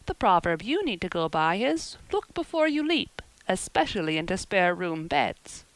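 A woman's voice: fairly low pitch, sing-song, moderate pace, slight background noise, poor audio. A woman voicing a gossipy, self-assured older village woman who talks in a knowing, self-satisfied way. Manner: knowing, self-satisfied, repeats a pet phrase.

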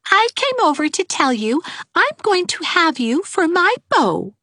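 A prissy seven-year-old girl, stubborn and spoiled, whining in a very high, drawn-out voice. Her tone swoops wildly and rings bright and sharp in a dry, close recording. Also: very animated, no echo, heavily acted. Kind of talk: audiobook character voice.

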